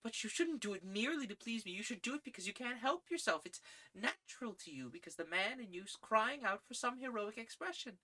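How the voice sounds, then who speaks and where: A woman's voice: fairly high pitch, expressive, brisk, sharp tone, voice pushed up. A reader voicing an idealistic, proud young woman who speaks in earnest, lofty tones. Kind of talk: audiobook character reading.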